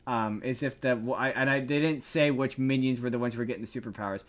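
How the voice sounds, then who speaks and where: A man's voice: mid-range voice, steady tone, fast talker. Casual male podcast host, rambling. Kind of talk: casual entertainment news chat.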